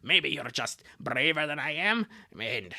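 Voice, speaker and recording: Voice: mid-range voice, big pitch swings, a little slow, gravelly, sharp tone, full character voice. A performer voicing a caring, protective big-sister girl in an earnest cartoon fan dub. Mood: wistful.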